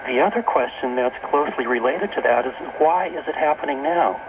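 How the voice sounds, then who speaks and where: An American man speaking as a radio interview guest, explaining haltingly and rephrasing as he goes, on a noisy shortwave tape. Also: mid-range voice. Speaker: talk show guest, author and workshop leader. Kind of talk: unscripted radio interview talk.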